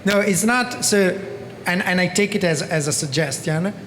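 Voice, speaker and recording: A man's voice: high voice, moderate pace, a bit bright. Italian-accented man in a big hall. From Italy. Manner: earnest, repeats himself, frequent "okay?". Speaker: panelist. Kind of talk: conference panel discussion. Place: large conference hall stage. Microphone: handheld microphone. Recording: reverberant hall with background noise.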